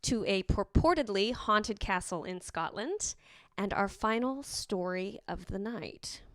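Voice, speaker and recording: A woman's voice: medium pitch, animated, a little slow, fairly bright tone, no echo, studio quality. A woman hosting a show, giving a scripted introduction as announcer. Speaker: host and announcer.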